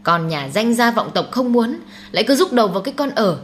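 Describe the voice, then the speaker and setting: A woman performs a domineering older mother from an audiobook melodrama. She scolds in an indignant, snobbish way, pitched fairly high. There is some noise behind the voice. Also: brisk, close to an everyday voice. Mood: furious. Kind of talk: dramatised audiobook storytelling.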